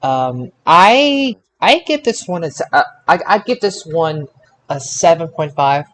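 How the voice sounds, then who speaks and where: A man hosting a casual sports results podcast, speaking haltingly and drawing out his announcements. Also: on the high side, expressive, fairly bright tone, a little hiss or hum. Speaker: podcast host.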